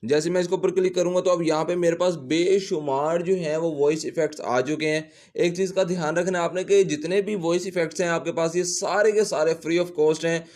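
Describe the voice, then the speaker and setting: A man's voice: a little high, a little mellow. A man hosting a casual step-by-step app tutorial, explaining in a repetitive, instructional way. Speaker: tutorial host, teacher.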